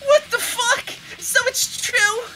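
A woman's voice: extremely high pitch, slow pace, pushed and bright, exaggerated voice. A woman voicing a brash, dramatic teenage girl at a trial in a video game. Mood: scornful. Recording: game music behind.

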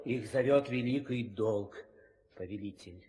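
A man's voice: fairly low pitch, measured pace, dark tone, close to an everyday voice. A man playing a loyal, deferential monkey counselor in an old audio play, eager and respectful. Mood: patient. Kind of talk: 1960s Soviet audio play acting. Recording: old studio record with long reverb.

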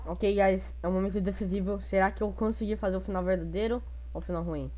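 A woman's voice: medium pitch, steady tone, fast, mellow, a little hiss or hum. A woman with a Brazilian accent giving casual, slangy gameplay commentary as a gaming YouTuber, chatting and thinking aloud.

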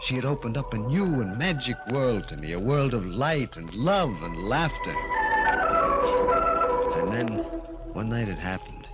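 An actor playing an anguished, desperate former midget turned giant, narrating and speaking in a melodramatic 1940s radio thriller style. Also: male voice, mid-range voice, big pitch swings, unhurried, lo-fi sound. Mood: brooding. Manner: melodramatic, intense. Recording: 1940s radio recording with organ music.

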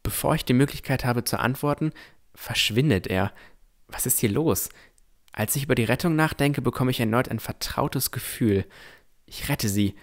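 A man's voice: mid-range voice, moderate pace. A man reading scripted first-person narration as the narrator of a fantasy audio drama.

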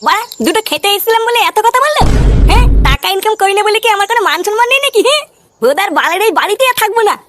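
A woman's voice: very high voice, lively intonation, very fast, fairly bright tone. A woman voicing a lazy, sulky, foul-mouthed young married son in a comedy cartoon. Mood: indignant. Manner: whining, profane.

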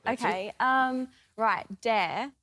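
A woman's voice: fairly high pitch, extremely slow, fairly bright tone, no echo, studio quality. A woman, chatting casually and teasingly as a dating show contestant. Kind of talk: casual, bantering chat.

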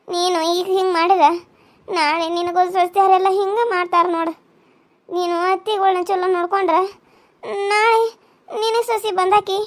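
A weary old mother-in-law pleads for water in a slow, drawn-out voice, put on by a woman. Her voice sits very high and thin for her age, tired and pleading. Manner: pleading, sighing, drawn-out complaints.

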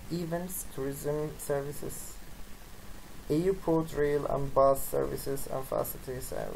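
Slide presenter, non-native English speaker, creaky voice, halting read, noisy amateur recording. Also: medium pitch, a little mellow. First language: not English.

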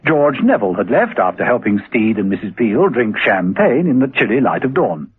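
Male narrator, dramatic radio serial, lively intonation, thin vintage radio sound. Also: mid-range voice, moderate pace, breathy edge. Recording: lo-fi old radio recording.

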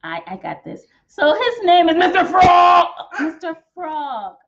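High, very animated American woman storyteller. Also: a little slow. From Texas, United States. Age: young adult. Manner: theatrical, exaggerated, sing-song. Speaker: children's storytime teacher. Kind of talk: animated picture-book read-aloud for young children. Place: small decorated room at home, indoors. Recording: dull, slightly muffled webcam sound.

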